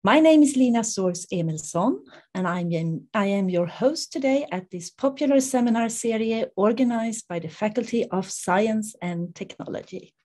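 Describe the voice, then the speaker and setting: A middle-aged woman with a Swedish accent, formally introducing an online seminar as its host in a measured way. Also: fairly high pitch, lively intonation, darkish tone. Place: indoors in front of a dark backdrop, video call.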